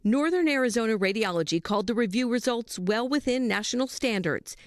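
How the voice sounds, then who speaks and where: A woman's voice: fairly high pitch, lively intonation, fairly quick, dry recording, clean studio sound. A woman with an American accent reading a scripted news report as narrator.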